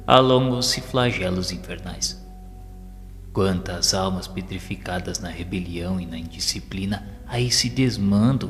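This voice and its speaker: A man reading a solemn audio novel in a measured, formal, sermon-like way. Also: lowish voice, creaky, a bit bright. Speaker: audiobook narrator. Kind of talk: solemn scripted narration of a spiritist audio novel. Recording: long reverb with music underneath. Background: music.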